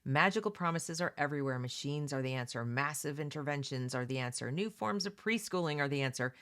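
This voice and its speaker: A woman's voice: low voice, expressive, brisk, fairly bright tone. A woman hosting a read-along, mixing reading aloud with casual, opinionated commentary in an emphatic, conversational way that addresses listeners directly.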